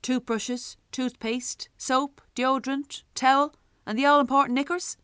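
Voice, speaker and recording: A woman with an Irish accent playing a weary, sharp-tongued working-class mother in a radio drama, wry and blunt. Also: sits high, fairly even intonation, a little slow, dry recording, studio quality. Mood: brisk. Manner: wry, blunt, earthy talk. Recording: clearly noisy, traffic and ambience behind.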